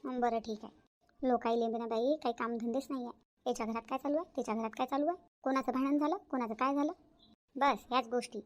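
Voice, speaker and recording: A woman's voice: fairly high pitch, steady tone, fast, natural voice. A woman playing a bossy, self-praising village mother in a Marathi family cartoon, chatty and emphatic, with a Varhadi accent from Vidarbha. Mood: grumbling. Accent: Varhadi Marathi of Vidarbha, India.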